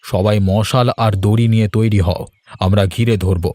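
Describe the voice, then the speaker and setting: A man voicing a grave, commanding village leader in a fairy tale cartoon, measured and authoritative. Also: on the low side, fairly even intonation, dark tone, no room sound, pitched below the performer's own voice, lightly acted. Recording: voice over background music.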